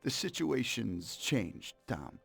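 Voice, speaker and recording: A man's voice: mid-range voice, big pitch swings, slow pace, creaky, a bit bright, husky, faint music behind, heavily acted. An actor playing a smug, cold-blooded mobster in a game cutscene, mocking and sardonic as he menaces an old partner. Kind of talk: dramatic video game cutscene acting.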